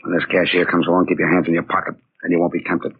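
Low-voiced bossy crook, old radio. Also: male voice, flat delivery, very fast, slightly rough. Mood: tense, controlled. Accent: American. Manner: terse, commanding tough-guy delivery. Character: hard, curt, bossy male stickup gang leader. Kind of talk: 1940s radio crime acting. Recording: muffled, hissy 1940s radio recording.

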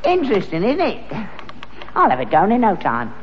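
A gruff, needling old father. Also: sits high, wildly swooping pitch, normal speed. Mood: smug. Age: older. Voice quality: gruff, taunting. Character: crotchety old male rag-and-bone father, meddling and mocking. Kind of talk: 1960s radio sitcom acting. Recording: dull old radio recording with long reverb and faint hiss.

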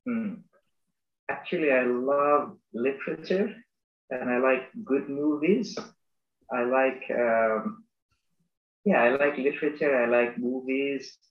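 Academic man from Bangladesh, reflective and halting, echoey lo-fi room. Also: medium pitch. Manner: thoughtful, halting, many restarts and fillers. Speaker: business school academic. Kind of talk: conversational interview.

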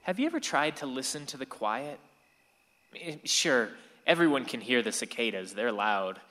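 A man's voice: mid-range voice, big pitch swings, moderate pace, pushed and bright. An actor playing an angst-ridden sixteen-year-old boy who narrates a radio play in a reflective way. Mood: wistful, reflective. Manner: reflective, storytelling to the listener. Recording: reverberant hall.

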